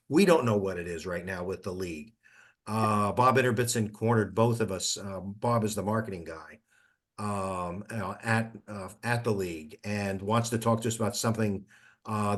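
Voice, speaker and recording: A man giving an informal update on an online call in a halting, conversational way. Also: on the low side. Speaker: meeting participant giving updates. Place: online teleconference.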